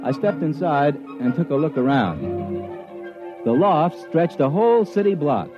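Wry, animated radio-drama narrator. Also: male voice, a little high. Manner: dry, wry, hesitant interjections. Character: wry, skeptical male narrator drawn into a scheme. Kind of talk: first-person old-time radio storytelling. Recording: muffled old radio recording. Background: music.

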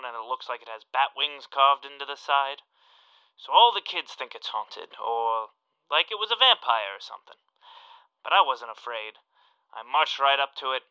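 A nervous, eager radio caller with a very bright, forceful voice. It is clean and crisp in tone, though the phone-in sound is dulled and lo-fi. He rambles along as if telling his own story. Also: mid-range voice. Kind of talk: rambling personal anecdote.

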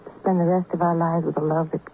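An actress playing a frail, grieving sick woman in a fragile, halting 1950s radio drama style. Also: lowish voice, level tone, lightly acted. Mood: sorrowful. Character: frail, grieving sick woman suspected of killing her lover. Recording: tinny, faintly noisy old radio recording.

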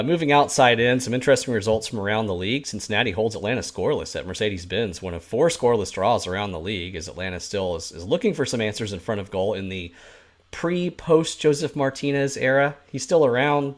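A man hosting a soccer podcast, moving the talk forward from one result to the next. His voice sits somewhat low, and he delivers his recaps in a steady, matter-of-fact way. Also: brisk. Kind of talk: sports talk, running the rundown.

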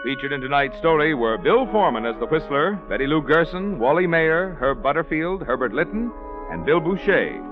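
A polished American man announcing in classic 1940s radio style. His voice is on the high side and very animated, landing words with emphasis. The vintage recording sounds slightly dull. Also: brisk, loud music behind the voice.

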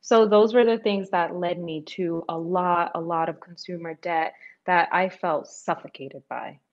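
Woman guest, 30s, raised in New York, lively and quick, low-ish voice, lo-fi audio. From Dominican Republic, raised in New York. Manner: animated, laughs often, fast bursts. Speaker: guest, personal finance creator. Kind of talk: casual interview chat. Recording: dull lo-fi remote audio.

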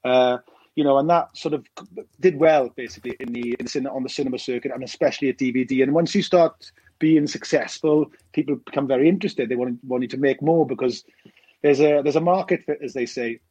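A husky-voiced Welsh man in his forties talks fast in a rambling way, full of fillers and restarts. His voice is dry and close, over a dull, lo-fi remote call line. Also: medium pitch, dark tone, dry recording. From Wales. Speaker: documentary director. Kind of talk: casual podcast interview.

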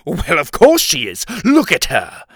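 A booming fairy king, played by a male storyteller, imperious and quick to anger. His commanding, sarcastic delivery swings widely in pitch, and the sound is close and dry. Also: sing-song, creaky, fairly bright tone, dry recording, full character voice. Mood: furious.

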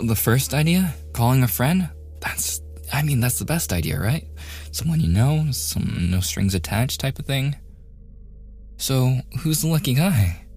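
A man playing a shy, awkward young man in a boyfriend ASMR roleplay, softly stammering and often slipping into whispers. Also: mid-range voice, slightly rough. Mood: curious, teasing. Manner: hesitant, stammering, self-deprecating joking.

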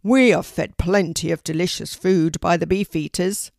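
A woman's voice: fairly low pitch, steady tone, moderate pace, creaky, darkish tone, no room sound, studio quality. Woman voicing a grumbling, matter-of-fact storybook raven. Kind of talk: children's storybook character voice.

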